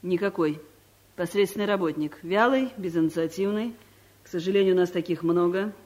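A woman's voice: on the low side, a little fast, a little mellow, close to an everyday voice. An actress playing a domineering, middle-aged woman director who gives curt orders and lectures her staff, in a 1970s radio comedy. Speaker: office director.

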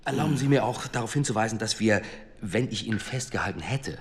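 A man plays a shrewd police commissioner in a radio drama. His voice is fairly low, formal and measured with dry wit, over noticeable background noise. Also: lively intonation, lightly acted. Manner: measured, formal, dryly witty. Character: dry, shrewd senior male police commissioner, deferential to superiors.

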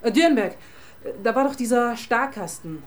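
A woman's voice: fairly high pitch, lively intonation, moderate pace, a little hiss or hum, close to an everyday voice. An actress playing a sardonic, businesslike female police inspector in charge, in a German radio crime drama.

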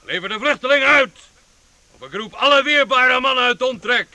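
An actor playing a haughty, angry knight in a youth radio play, imperious and scornful. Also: male voice, very high voice, brisk, bright, forceful tone, no echo, exaggerated voice. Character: haughty, angry knight threatening his quarry.

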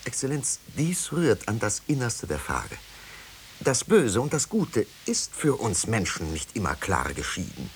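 A man's voice: lowish voice, sing-song, measured pace. An actor playing a conscience-stricken clergyman in a 1950s radio drama, formal, earnest and emphatic. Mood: anguished, urgent. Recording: hissy old radio drama recording.

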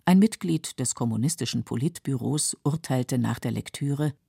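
A woman reads scripted documentary narration in a low, mellow voice. She is measured and factual, articulating carefully, and the recording is dry and close. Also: vocal fry, slightly rough, no echo.

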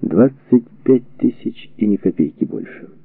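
A man reading a historical book as a scripted audiobook narration, in a measured, deliberate way with weighted pauses, on a narrow lo-fi recording. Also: mid-range voice, lively intonation, vocal fry, slightly rough, dry recording. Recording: narrow phone-like band, lo-fi audio.

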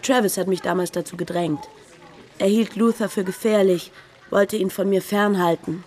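A woman playing a middle-aged café owner reminiscing in an unhurried way in a German radio drama. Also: medium pitch, steady tone, darkish tone, natural voice.

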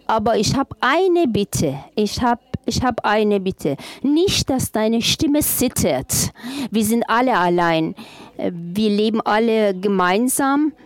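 A woman from Afghanistan speaking at a panel, impassioned and halting, often breaking off and restarting her sentences. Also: medium pitch, no room sound. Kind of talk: public panel statement.

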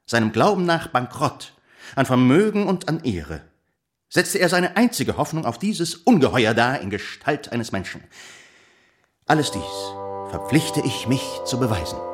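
An actor playing a pompous, indignant gentleman in a German radio drama, declaiming in a grandiose, emphatic manner. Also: mid-range voice, sing-song, a little slow, soft background music. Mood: triumphant. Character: pompous, theatrical gentleman reading out an indignant denunciation.

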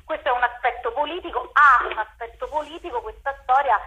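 Woman, theatre director, interviewee, bright sharp voice, somewhat high pitch, repeats words while thinking. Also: fairly quick, dull audio. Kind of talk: interview answers.

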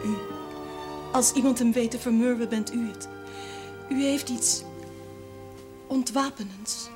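Actress as an earnest, troubled young woman, high-voiced. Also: fairly even intonation, unhurried, music in the background, lightly acted. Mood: hopeful pleading. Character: earnest young former novice, burdened daughter managing family business. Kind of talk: television period drama acting.

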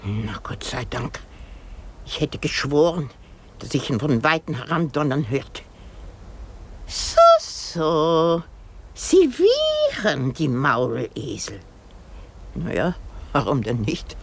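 A woman's voice: low-pitched, sing-song, slow, pauses often, a little hiss or hum, heavily acted. An actress playing an old, self-pitying, hysterical married woman in a radio drama, rambling theatrically with sighs and exclamations. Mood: fretful, chatty. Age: older.

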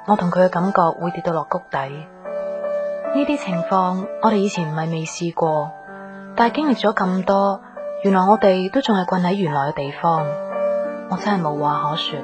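Actress doing sad young hong kong woman, radio play inner monologue, cantonese accent, kinda low voice, loud music under it. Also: moderate pace, close to an everyday voice. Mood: wistful, disappointed. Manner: reflective, wistful. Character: young woman back in Hong Kong, hurt, disillusioned in love. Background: plucked string music.

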